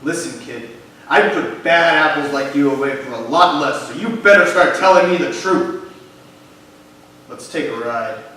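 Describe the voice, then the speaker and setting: A man's voice: on the high side, moderate pace, close to an everyday voice. Man acting a menacing cop in an echoey hall. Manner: intimidating, sneering. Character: menacing, bullying male police sergeant interrogating a teenager. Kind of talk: staged reading of a play. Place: arts center stage, live performance. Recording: echoey hall, distant mic, faint noise.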